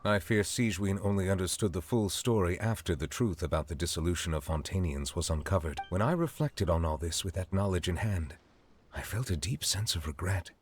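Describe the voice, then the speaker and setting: A man playing an ageless, dignified chief judge in a video game, formal, reserved and measured. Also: sits low, lively intonation, slight background noise. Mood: solemn, courteous. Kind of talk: video game dialogue acting.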